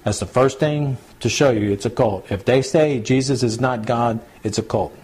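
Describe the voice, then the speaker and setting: A husky, mellow-voiced man with a drawl leads a home Bible study. He talks plainly and conversationally, circling back to repeat his points and checking in with the group. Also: medium pitch, normal speed. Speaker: pastor. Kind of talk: home church Bible teaching. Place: small home gathering, recorded to CD.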